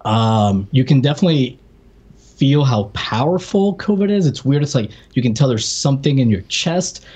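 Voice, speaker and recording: A man's voice: mid-range voice, animated, normal speed, a little mellow, husky. An American man co-hosting a podcast, chatting casually as he tells personal anecdotes and acts out what people said. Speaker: podcast co-host.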